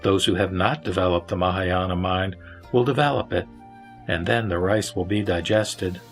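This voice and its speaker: Man, low voice, solemn sutra narrator, measured delivery, soft music behind. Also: fairly even intonation, vocal fry. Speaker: narrator and reciter of a Buddhist sutra. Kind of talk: scripted narration of scripture. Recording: background music under the voice. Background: instrumental music.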